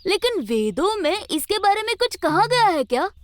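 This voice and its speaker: A woman's voice: very high voice, big pitch swings, moderate pace, no echo. A woman voicing an enthusiastic, innocent little schoolboy in an educational cartoon, naive and inquisitive. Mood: keen, innocent. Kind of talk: animated educational cartoon dialogue.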